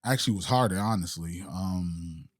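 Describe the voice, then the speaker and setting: A man's voice: low voice, normal speed, dry recording, studio quality. A man born in Haiti and raised in the United States, a podcast guest and entrepreneur, talking reflectively in street slang with many restarts. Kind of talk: casual interview chat.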